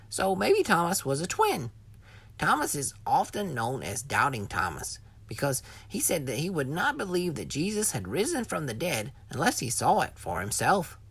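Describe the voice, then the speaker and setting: A woman's voice: low voice, wildly swooping pitch, normal speed, slightly rough, dry recording. A woman reading scripted educational narration as the narrator of a children's Bible lesson.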